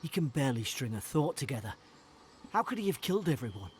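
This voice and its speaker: A man's voice: medium pitch, very animated, fast talker, slight background noise, natural voice. An actor playing a concerned, cautious male adventuring companion in a video game, speaking in an earnest, wary way. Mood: urgent, wary. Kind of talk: video game character acting.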